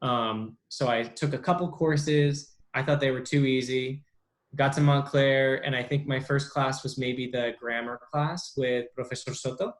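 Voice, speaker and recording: A young adult man as an interview guest, talking casually with many pauses and restarts. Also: medium pitch, steady tone. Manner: halting, lots of ums and restarts. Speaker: interview guest, bilingual speech therapist.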